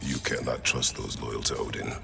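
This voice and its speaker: A middle-aged man playing a stern, stoic, protective warrior father in a video game, gravelly and terse, with formal, grave phrasing. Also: flat delivery, moderate pace, big-room sound, heavy background noise, full character voice. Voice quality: gravelly, deep. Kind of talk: dramatic video game cutscene acting.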